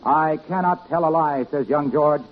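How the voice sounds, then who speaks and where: An actor playing a hearty, self-pleased salesman pal in a 1950s radio comedy, pitching with earnest enthusiasm. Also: moderate pace, lightly acted. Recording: muffled 1950s radio recording.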